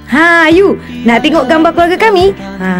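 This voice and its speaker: Lively, high-voiced cartoon boy. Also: normal speed, faint music behind. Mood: excited. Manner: bubbly, chatty. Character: cheerful, chatty young boy eager to show off. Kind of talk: cartoon voice acting.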